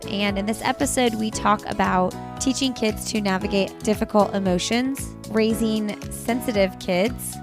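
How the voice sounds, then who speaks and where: An American woman hosting a parenting podcast, reading ads and interviewing in an upbeat, enthusiastic way. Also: medium pitch. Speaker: podcast host. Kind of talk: host intro, sponsor read and interview. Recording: music playing behind the voice.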